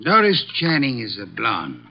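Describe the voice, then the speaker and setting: An actor playing an imperious older male genius detective, speaking in a pompous, deliberate manner with clipped commands, in 1950s radio drama style. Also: medium pitch, wildly swooping pitch, creaky, exaggerated voice. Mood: dryly amused. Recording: dull lo-fi 1950 radio transcription.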